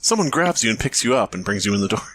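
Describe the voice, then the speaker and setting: A man co-hosting a podcast, reading fan fiction mock-dramatically with casual, expressive banter and frequent riffing asides. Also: on the low side, rapid-fire, a little hiss or hum. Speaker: podcast co-host.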